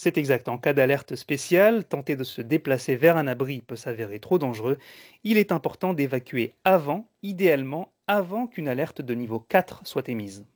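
A man co-hosting a radio information magazine, explaining things in a measured, presenter-like way. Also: medium pitch, expressive, no echo, studio quality. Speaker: radio co-host.

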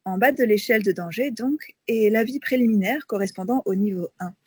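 Husky-voiced female radio co-host. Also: mid-range voice, fairly quick, a little mellow, no echo, studio quality. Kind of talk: presenting and interviewing on a radio magazine.

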